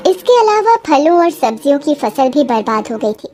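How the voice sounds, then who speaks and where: High-pitched woman narrating a story. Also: very animated, moderate pace, warm tone. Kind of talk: scripted dramatic story narration.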